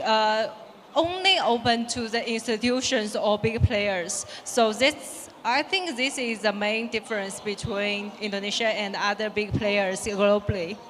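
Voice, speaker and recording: A woman's voice: on the high side, a bit bright, slight background noise. Woman moderating a panel in a hall. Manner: poses questions, sums up answers. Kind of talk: panel discussion. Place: conference stage at a live event. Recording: reverberant live conference hall.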